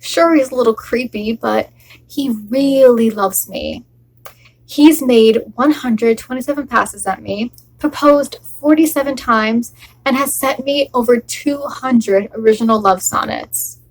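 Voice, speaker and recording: A woman's voice: on the high side, measured pace, poor audio. Woman performing a sardonic, heartbroken wife. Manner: sarcastic, forced laughter over near tears. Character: wry heartbroken wife refusing a divorce. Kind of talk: theatrical monologue performance.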